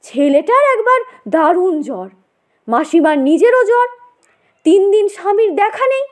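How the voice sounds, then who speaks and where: A woman's voice: very high-pitched, sing-song, a little slow, heavily acted. A woman voicing an eager, gossipy woman in a story, confiding and dramatic as she recounts.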